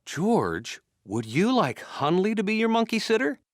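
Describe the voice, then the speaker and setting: A man playing a kindly, slightly anxious caretaker in a children's cartoon, fussy and expressive. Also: high-pitched, unhurried. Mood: friendly, caring.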